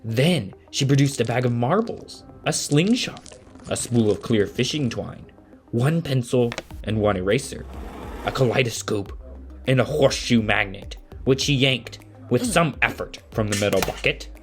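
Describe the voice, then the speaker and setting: A man's voice: medium pitch, expressive, measured pace, soft background music, close to an everyday voice. A man narrating a family audio drama in scripted storybook style.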